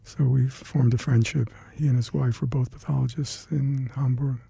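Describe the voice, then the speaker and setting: An older man with Hungarian as his first language, recalling past work in an oral history interview, halting and reflective with many fillers and restarts. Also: medium pitch, fairly even intonation, vocal fry, dark tone, husky, no echo. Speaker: interviewee, retired scientist.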